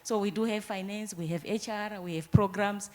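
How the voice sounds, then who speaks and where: A woman's voice: mid-range voice, steady tone, moderate pace. A woman from Zimbabwe commenting from the floor at a conference into a handheld microphone, speaking earnestly and explaining at length with frequent restarts. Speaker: audience participant. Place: conference room.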